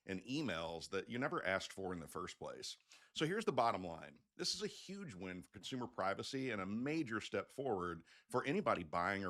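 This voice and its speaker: A man's voice: fairly low pitch, fairly bright tone. A man presenting an explainer to camera in a casual, conversational way with folksy phrasing, like a loan officer updating clients. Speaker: mortgage loan officer hosting an update video.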